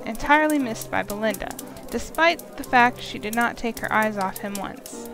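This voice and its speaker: Casting: female narrator for scripted fantasy, fairly high voice over clearly audible music. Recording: voice under prominent music.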